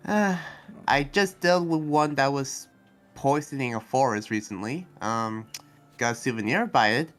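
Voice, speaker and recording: A man acts out a kindhearted young demon slayer in an anime game roleplay. He is earnest and idealistic, with a middling pitch and hesitant, restarting delivery. Faint music plays behind him. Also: a little slow, fairly bright tone, close to an everyday voice. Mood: pensive. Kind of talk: improvised roleplay dialogue.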